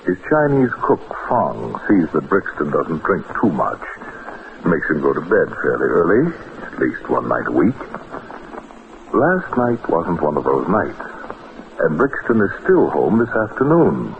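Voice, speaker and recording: A man narrating a scripted radio drama in a wry, measured manner. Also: rumbling low, huge pitch swings, full character voice. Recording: muffled, noisy old radio recording.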